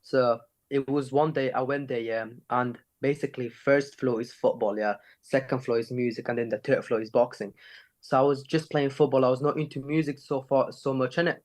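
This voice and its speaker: A man's voice: medium pitch, fairly even intonation, brisk, darkish tone. A man from Sweden, a rapper and singer being interviewed, chatting casually with plenty of slang filler. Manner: slangy, with frequent "innit" and "you know". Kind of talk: casual chat.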